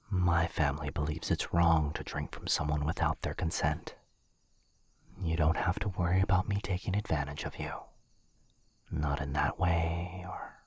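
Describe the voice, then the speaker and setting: Man playing a very deep, breathy gentle vampire lord. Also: dry recording, full character voice. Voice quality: very deep, breathy, husky near-whisper. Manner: hushed, hesitant, reassuring, with long pauses. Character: gentle, protective aristocratic male vampire lord, soft-spoken and principled. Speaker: voice actor in audio roleplay. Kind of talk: intimate ASMR boyfriend roleplay.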